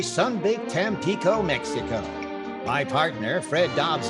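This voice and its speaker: A man acting as a dry, wry comedian host and narrator in scripted 1940s radio comedy. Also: a little high. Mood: storytelling.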